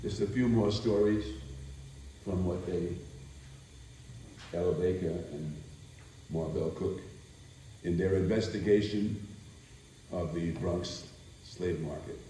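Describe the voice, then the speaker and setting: Slow older man in an echoing room. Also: sits low, warm tone, slight background noise. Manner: deliberate, theatrical, pausing between phrases. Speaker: stage actor, performer. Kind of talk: live stage storytelling and introductions. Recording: very echoey room, distant microphone.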